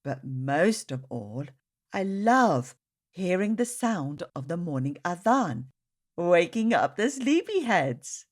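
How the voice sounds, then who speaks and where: A woman reading the words of tender, devout family members in a children's storybook, gently and earnestly. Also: on the low side, very animated, slow, heavily acted.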